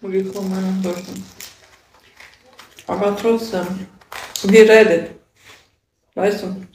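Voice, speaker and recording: A young woman with a Ukrainian accent talks slowly and haltingly into a phone held at arm's length. She chats in a loose livestream rant, often checking in with the listener. Her voice carries a roomy echo from the indoor space. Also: mid-range voice, fairly even intonation, darkish tone, slight background noise. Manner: halting broken German, often asks "weißt du?". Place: indoor room with a sofa.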